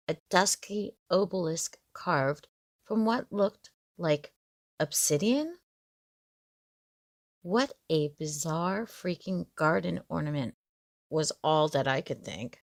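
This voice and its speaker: A woman reads a scripted story with measured expression. Her pace is slow and her slightly low voice has plenty of vocal fry. The sound is dry and close. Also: expressive, no room sound. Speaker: horror story narrator. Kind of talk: scripted first-person story narration.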